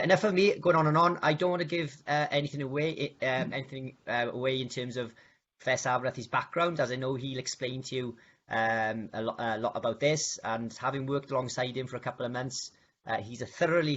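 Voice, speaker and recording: Brief: upbeat host, lots of "um"s, on a muffled video call. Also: male voice, medium pitch, a bit bright. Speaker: webinar host. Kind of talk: hosting an online webinar.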